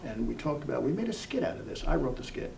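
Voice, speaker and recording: Gravelly-voiced man hosting a program. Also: mid-range voice, sing-song, fast talker, a little mellow. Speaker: TV program host. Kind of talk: casual interview. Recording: distant mic with faint room hiss.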